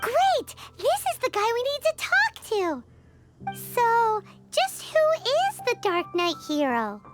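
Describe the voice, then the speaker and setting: A woman voicing a tiny, perky, blunt childlike fairy companion in a video game, with a squeaky voice. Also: wildly swooping pitch, slow, heavily acted. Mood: eager. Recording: loud game music behind. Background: music.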